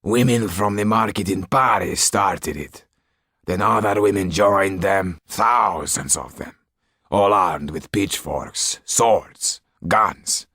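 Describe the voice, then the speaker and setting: A man voicing a rough, mean male groom with a grumbling, earthy manner. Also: sits low, slow, pushed and bright, no echo, studio quality, full character voice.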